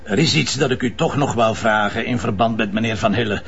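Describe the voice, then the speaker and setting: An actor playing a stubborn, evasive middle-aged police commissioner in a crime drama, with a Flemish accent and a dismissive, wry manner. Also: male voice, lowish voice, a little fast, gravelly. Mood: evasive, polite. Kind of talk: naturalistic TV crime drama dialogue. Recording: dull, lo-fi TV soundtrack.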